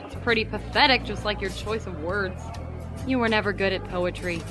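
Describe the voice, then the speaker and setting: A woman's voice: medium pitch, normal speed, music in the background, a crowd in the background, lightly acted. A woman acting a scornful, cutting wife in a horror audio drama, speaking with biting contempt.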